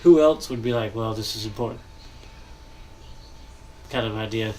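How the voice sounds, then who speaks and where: A man's voice: lowish voice, moderate pace, warm tone, low-quality recording. Young man, stuffy nasal voice, rambling game commentary, faint hum behind. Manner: rambling, thinking aloud, frequent coughs and throat clearing. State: congested, nasal. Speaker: gaming channel host. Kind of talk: casual commentary while building in a game.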